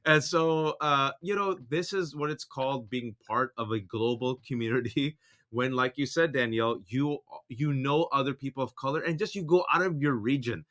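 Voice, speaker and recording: A man from the Bay Area, an Urdu speaker first, co-hosting a casual political chat and acting out quotes animatedly. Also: normal speed. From San Francisco Bay Area, USA. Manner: animated, acts out quotes with big pitch jumps. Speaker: podcast co-host.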